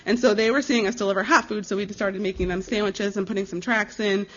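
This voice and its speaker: A young American woman speaking as a missionary, giving an informal slide presentation in a conversational, earnest and halting way. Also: medium pitch, steady tone. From United States. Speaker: missionary giving a ministry report. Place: live talk before an audience. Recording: dull, slightly lo-fi recording.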